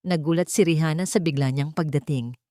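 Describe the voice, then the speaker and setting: A woman with a Filipino accent narrating a scripted romantic drama story in a dramatic, measured storytelling manner. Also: low voice, dark tone, no echo, clean studio sound.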